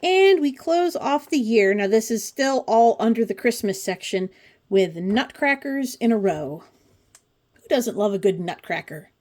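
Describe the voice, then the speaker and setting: A woman's voice: on the high side, animated, measured pace, a little mellow. A woman in her forties hosting a craft video, talking casually through a flip-through with chatty, wry asides. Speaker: craft channel host. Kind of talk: casual commentary on a pattern book flip-through.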